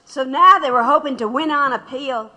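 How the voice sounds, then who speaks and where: A woman's voice: high voice, normal speed, sharp tone. An actress playing a weary, rueful radical woman looking back with regret in an audio drama. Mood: reflective. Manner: reflective, rueful. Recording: long hall-like reverb.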